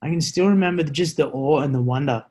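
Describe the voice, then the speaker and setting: A man's voice: fairly high pitch, quick pace, dark tone, slightly rough. Rambling, jokey Australian man chatting. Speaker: interview guest, former special forces soldier. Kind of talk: casual podcast conversation, storytelling.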